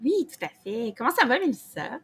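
Bright, lively woman co-host. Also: a little high, brisk, slightly rough. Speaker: podcast co-host.

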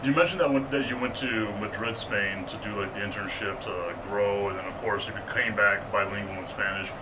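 A deep-voiced man from El Paso hosting a casual interview, joking and self-deprecating. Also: fairly even intonation, fairly quick, far from the mic, background noise. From El Paso, Texas. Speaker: interviewer, host.

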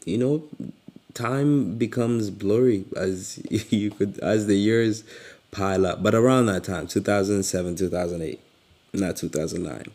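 A man from Uganda giving a casual motivational talk in a relaxed, halting way, with fillers and frequent laughs. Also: on the low side, husky. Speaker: motivational speaker.

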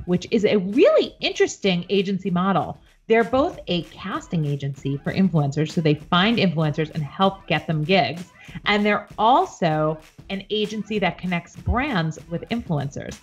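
A woman hosting an interview podcast, chatting enthusiastically and cheering her guest on with quick affirmations. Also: fairly low pitch, very animated, breathy edge, highs cut off. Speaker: podcast host. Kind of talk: upbeat interview chat.

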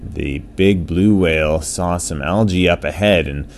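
A man's voice: low voice, unhurried. A man telling an improvised children's bedtime story in simple, repetitive phrases, with faint noise behind him.

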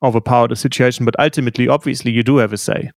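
A male interviewer with a gravelly edge to his mid-pitched voice, recorded close and dry. He asks long, winding questions in a thoughtful, conversational way. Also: steady tone, rapid-fire, dry recording. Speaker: interviewer, host.

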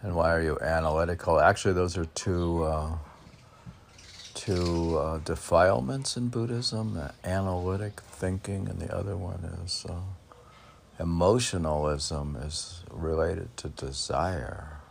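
A man informally reading his poems and commenting on them in a halting, musing way, as if talking to himself. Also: deep voice, steady tone, gravelly, a little hiss or hum. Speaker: poet reading and commenting on own poems for a podcast. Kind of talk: informal poetry reading with rambling self-reflective commentary.

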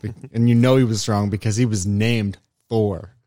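A man's voice: on the low side, steady tone, moderate pace, warm tone, dry recording, clean studio sound. A young man co-hosting a casual comedy podcast, playful and teasing, with mock-boastful jokes and rambling tangents. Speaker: podcast co-host.

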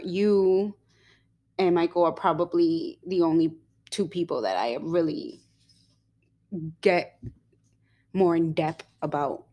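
A young woman co-hosting a casual podcast, talking in a rambling way with many fillers and quick giggles. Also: fairly low pitch, a little mellow. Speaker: podcast co-host.

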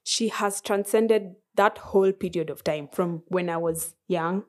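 A young woman in her twenties with a Kenyan accent, a podcast guest chatting casually, soft-spoken with frequent laughs and hesitations. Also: on the low side, unhurried. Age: 20s. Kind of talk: casual interview chat.

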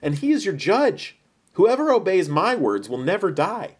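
Man playing an emphatic, solemn Jesus, lively pitch. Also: breathy edge, full character voice. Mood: bold, impassioned. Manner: emphatic, measured, solemn delivery. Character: Jesus, calm but bold, authoritative teacher in his thirties. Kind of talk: dramatized Bible storytelling.

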